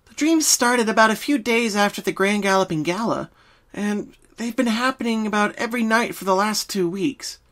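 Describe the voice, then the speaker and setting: Sweet, earnest young female cartoon pony voiced by a story reader, high-pitched, timid, hesitant delivery. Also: moderate pace, a bit bright, lightly acted.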